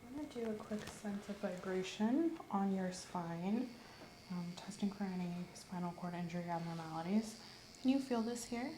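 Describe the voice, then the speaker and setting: A woman giving calm, slow, clinical instructions as a doctor in an ASMR roleplay, sometimes dropping into a whisper. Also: mid-range voice, background noise. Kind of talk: soft-spoken ASMR medical roleplay. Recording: roomy echo.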